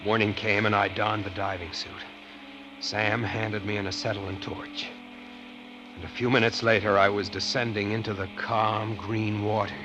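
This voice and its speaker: A man's voice: on the low side, little pitch movement, normal speed, lightly acted. A man playing an earnest, suspenseful treasure-hunting diver who narrates his ordeal in old-time radio style. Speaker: narrator and lead character. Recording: vintage radio recording, music behind.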